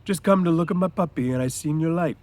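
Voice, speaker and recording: Storybook role: big, childlike farmhand, performed by a male reader, raised pitch, plaintive, simple rural phrasing. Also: quick pace, darkish tone, close to an everyday voice. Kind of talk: storybook read-aloud character voice.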